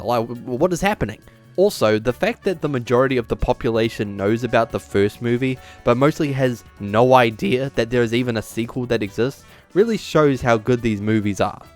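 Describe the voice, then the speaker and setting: A man hosting a scripted but casual, opinionated video review, with sarcastic, exaggerated emphasis and drawn-out words. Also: mid-range voice. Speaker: video essay host and reviewer.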